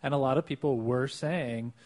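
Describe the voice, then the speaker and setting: A man with a middle-pitched voice co-hosts a podcast in a loose, conversational way. He muses aloud, laughs easily and keeps the talk animated. He is recorded on a podcast mic in a room inside a clock tower. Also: normal speed. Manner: lively, laughs easily, musing asides. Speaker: podcast co-host. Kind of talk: casual podcast chat.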